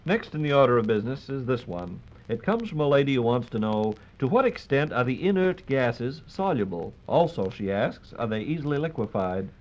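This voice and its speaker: A man's voice: medium pitch, sing-song, normal speed, few pauses. An American man hosting a 1940s radio program in a polite, formal, scripted announcing style. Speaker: radio host. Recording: dull 1940s radio broadcast recording.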